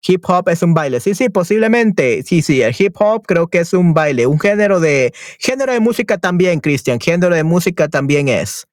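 A man's voice: on the high side, lively intonation, moderate pace, hardly any pauses, no echo, studio quality. Upbeat bilingual male Spanish teacher. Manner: switches between Spanish and English, repeats affirmations. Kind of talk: online group class, reading and quizzing. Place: online class, talking to students.

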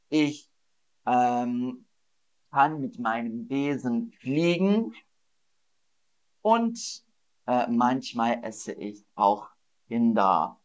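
A man teaching German learners with a riddle quiz, speaking slowly and deliberately, repeating each phrase and laughing at his own slips. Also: mid-range voice. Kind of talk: slow, repetitive quiz lesson for learners.

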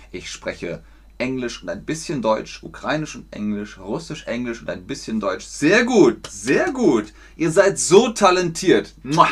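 A man teaching German in a live online lesson, encouraging and clearly articulated. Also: mid-range voice.

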